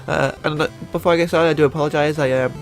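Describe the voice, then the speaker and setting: A young man talks through his gaming picks in a gravelly, slightly high voice. He is stuffed up from a cold and rambles, restarting his sentences and filling pauses with "uh". Faint music plays behind him. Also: steady tone. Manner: rambling, many fillers and restarts. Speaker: YouTuber. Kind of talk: casual gaming commentary.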